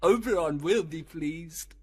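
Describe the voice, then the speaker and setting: A man's voice: on the high side, takes it slowly, darkish tone, slightly rough, no echo, voice pushed up. A man voicing a mischievous, eager sprite who muses conspiratorially to himself in a storybook tale. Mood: pleased, scheming.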